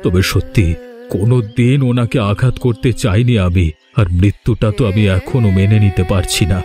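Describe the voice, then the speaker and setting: A man's voice: lowish voice, moderate pace, music in the background, close to an everyday voice. A man voicing a remorseful, humbled rich village landlord in a cartoon, speaking solemnly and confessionally. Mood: grieving, guilty. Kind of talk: Bengali cartoon voice acting.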